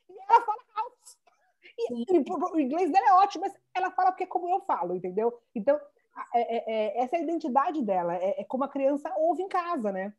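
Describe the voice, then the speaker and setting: Brazilian woman chatting in an interview, high voice, lots of stops and restarts. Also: fairly quick. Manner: animated storyteller, many restarts and "né". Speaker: founder of a Portuguese school for children. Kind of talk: conversational interview.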